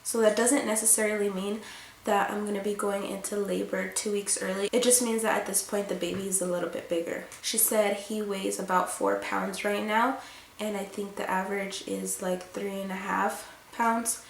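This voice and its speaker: A congested young woman talks through a casual vlog update, chatty and rambling with plenty of fillers. Her voice has a gravelly fry to it and sounds stuffy from a cold. The room is echoey, and a faint hiss sits underneath. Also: mid-range voice, little pitch movement, normal speed. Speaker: vlogger.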